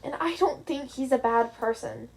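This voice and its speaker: A high-voiced young man in an audiobook tale, acted out by a woman. He is innocent and mild, with a sarcastic streak and some held-in frustration. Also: normal speed, vocal fry, low-quality recording. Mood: restrained frustration.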